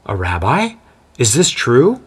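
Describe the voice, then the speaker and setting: A man's voice: mid-range voice, huge pitch swings, takes it slowly, breathy edge, exaggerated voice. A man voicing a doting, hard-of-hearing old grandmother who fusses and gushes. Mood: fussy. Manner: fussy and gushing.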